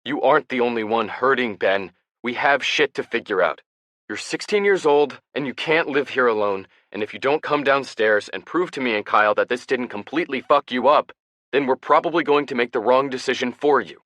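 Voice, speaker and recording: A man voicing an angry, grieving young man who shouts at his younger brother and talks through gritted teeth. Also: medium pitch, fairly quick, no echo, pitched above the performer's own voice, lightly acted. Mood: furious shouting.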